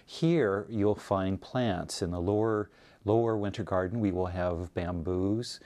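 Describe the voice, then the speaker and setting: A man's voice: low voice, unhurried, warm tone. A man giving an informative presentation as a college science department representative.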